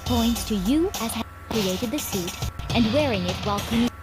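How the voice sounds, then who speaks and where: A woman playing an earnest, accusing young student in a video game, insistent and emphatic over loud music. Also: on the high side, lively intonation, fairly quick, close to an everyday voice.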